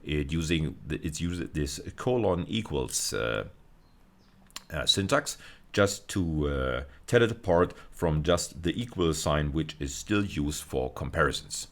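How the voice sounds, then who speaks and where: A middle-aged man lecturing in a very low voice. His intonation rises and falls in a lively way as he explains things step by step, pausing now and then to find his words. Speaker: university lecturer. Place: indoors, recorded video lecture.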